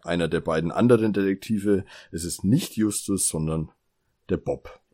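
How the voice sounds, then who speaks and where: A man's voice: deep voice, normal speed, mellow. A man co-hosting a podcast in casual, teasing conversation, often chuckling. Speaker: podcast co-host.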